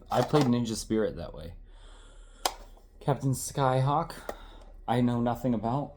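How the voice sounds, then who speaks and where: A man's voice: lowish voice, darkish tone. Congested, tired man rambling about old games. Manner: rambling, self-correcting, swears casually. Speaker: retro game collector. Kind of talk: casual chat showing a game collection.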